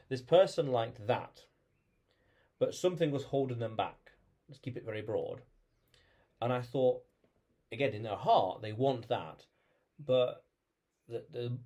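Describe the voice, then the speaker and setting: Man, husky voice, reflective vlog monologue, repeats himself as he thinks. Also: mid-range voice, warm tone. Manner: thinks aloud with restarts and repeated phrases. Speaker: vlogger.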